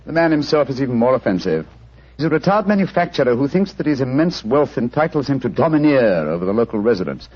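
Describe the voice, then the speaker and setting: An actor playing a keen, imperious older English gentleman detective with a husky voice and wry, formal manner, in 1940s radio style. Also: medium pitch, very animated, fast talker. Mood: brisk, interested. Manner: crisp authority, wry, formal. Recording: muffled vintage 1940s radio recording.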